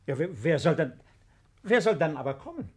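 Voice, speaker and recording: An actor playing a polite, worried German baron in a 1950s radio drama, hesitant and repeating himself. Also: male voice, a little high, big pitch swings, fairly quick, husky, no echo. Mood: worried, courteous. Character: worried, courteous German baron, a fleeing landowner. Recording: noisy old 1950s radio recording.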